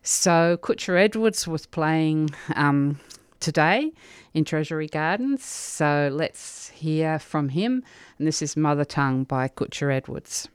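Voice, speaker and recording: Crisp, dry radio host. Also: medium pitch, takes it slowly, no echo. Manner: chatty and relaxed, with small restarts. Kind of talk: casual radio chat between songs.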